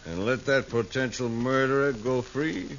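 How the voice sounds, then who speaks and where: An actor playing a smug, menacing gambler and saloon boss in a 1950s western radio drama. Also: male voice, mid-range voice, fairly even intonation, unhurried, lightly acted. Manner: smug, threatening. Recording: dull, noisy vintage radio recording.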